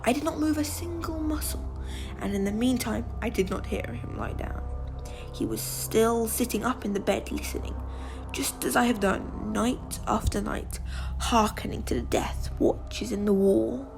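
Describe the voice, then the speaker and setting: A woman's voice: fairly high pitch, moderate pace. Deranged gothic murderer played by a woman, theatrical and gloating, slipping into whispers, music underneath. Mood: creeping suspense. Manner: theatrical, often dropping into breathy whispers. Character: deranged, gloating murderer confessing while insisting on sanity. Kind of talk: dramatic gothic story reading.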